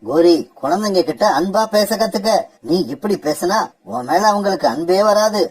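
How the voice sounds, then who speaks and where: An actor voicing a gentle, mild-mannered father bird in a cartoon, pleading with his family. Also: mid-range voice, animated, moderate pace, breathy edge. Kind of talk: cartoon dub acting.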